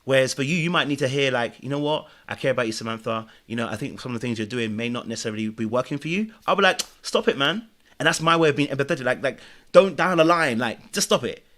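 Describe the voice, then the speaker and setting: A man's voice: medium pitch, expressive, quick pace, fairly bright tone. A man giving earnest, preachy relationship advice as a guest on a podcast chat. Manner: earnest, preachy, speaks in lessons.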